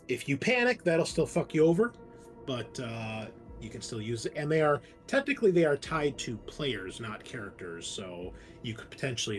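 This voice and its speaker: Wry male tabletop player, middle-pitched. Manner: wry, joking, explains rules readily. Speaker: player in a tabletop roleplaying game. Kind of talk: casual table talk. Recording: faint music under the voice. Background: faint music.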